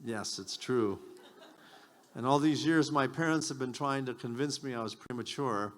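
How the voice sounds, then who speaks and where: A man's voice: medium pitch, moderate pace, a little hiss or hum. A man whose first language is Japanese, doing stand-up on a community event stage, self-mocking and rambling with stammered restarts. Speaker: stand-up comedian. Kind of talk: stand-up comedy set.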